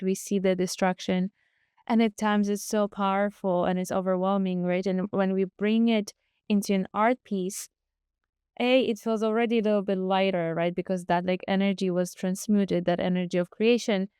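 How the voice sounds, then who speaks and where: A woman's voice: mid-range voice, warm tone, clear, resonant tone, no echo, clean studio sound. A woman hosting a podcast who reflects earnestly and asks long questions. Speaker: podcast host. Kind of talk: podcast interview.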